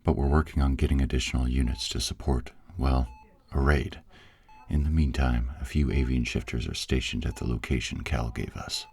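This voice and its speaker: A man acts out an authoritative director in a modern fantasy audio drama. His voice is extremely low and rough-edged. He speaks in a measured, businesslike way with dry concern for his people. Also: fairly even intonation, a little mellow, full character voice. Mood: briefing. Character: steady, authoritative male director planning a raid, dryly caring. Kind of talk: audio roleplay drama acting.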